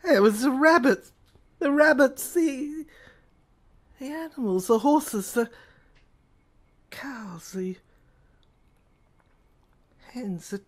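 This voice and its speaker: A woman narrating an audio play in a halting, fragmented, intense first-person dramatic style. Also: high-pitched, animated.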